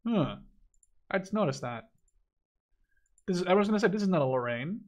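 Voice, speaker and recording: A man's voice: high voice, lively intonation, fairly quick. A man in his twenties from the Netherlands giving casual, opinionated gameplay commentary in an informal, blunt way. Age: 20s. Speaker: gaming YouTuber reviewing a game.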